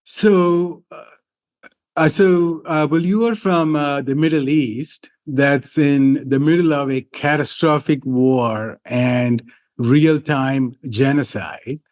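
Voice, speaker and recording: A man hosting an interview podcast, speaking haltingly with many fillers. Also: fairly high pitch, steady tone, a little mellow. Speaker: podcast host.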